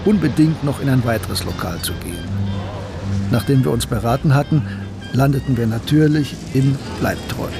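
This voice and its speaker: A man acting as a reflective student who recalls his life in a measured, literary radio drama narration. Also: mid-range voice, lightly acted. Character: Gustav, reflective male mathematics student recalling his past. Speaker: narrator and protagonist. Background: music.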